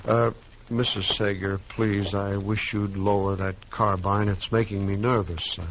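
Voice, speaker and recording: A man's voice: lowish voice, level tone, measured pace, a bit bright, a little hiss or hum. A man playing an exasperated, officious railroad agent in an old-time radio western, formal and insistent, stammering when flustered. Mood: nervous, insistent. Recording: dull lo-fi recording.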